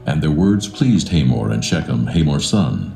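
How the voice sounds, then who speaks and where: A man narrating scripture in a dramatised reading, solemn and measured. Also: very deep voice, very animated, vocal fry. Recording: roomy echo, soft music behind.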